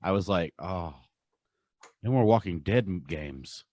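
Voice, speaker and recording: Male podcast host, slightly low pitch, dry close sound, casual conversation. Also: animated, a little slow, darkish tone, no echo. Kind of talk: casual chat.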